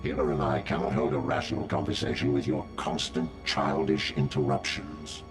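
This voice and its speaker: A man voicing a menacing, contemptuous droid leader in a video game, pompous and condescending in his deliberate speech. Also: a bass voice, very animated, gravelly, over music, exaggerated voice. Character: menacing, contemptuous droid hive-mind leader, male villain. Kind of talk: video game villain acting.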